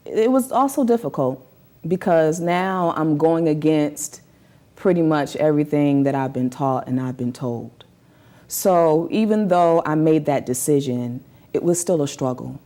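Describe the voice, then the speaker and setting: Low, mellow-voiced woman in conversation. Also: expressive, unhurried. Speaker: interview guest, writer. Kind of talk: conversational interview, personal storytelling.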